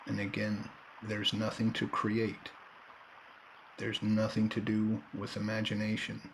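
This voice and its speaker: A man leading a guided meditation in a calm, unhurried manner, leaving long pauses between short phrases. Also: lowish voice, gravelly, a little hiss or hum, rough recording. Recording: background nature ambience and birdsong. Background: nature and bird sounds.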